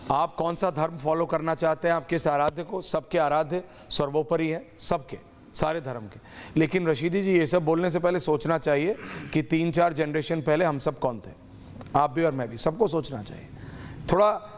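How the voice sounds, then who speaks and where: Tv anchor guy, high voice, sore throat, cuts in constantly. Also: normal speed, slight background noise. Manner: interrupting, forceful, mixes English phrases. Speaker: debate show anchor. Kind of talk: heated TV debate moderation.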